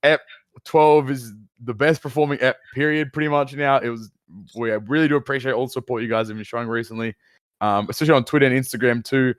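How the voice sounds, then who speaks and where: A man hosting a casual podcast, energetic and slangy, laughing often as he talks over an online call. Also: medium pitch, quick pace, smooth, no echo. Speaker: podcast host. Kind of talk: casual chat.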